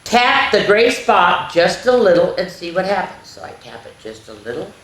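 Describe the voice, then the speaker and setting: A woman hosting a children's story time, reading a picture book aloud in an animated, sing-song, question-asking way. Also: fairly low pitch, normal speed. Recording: roomy, echoey indoor sound.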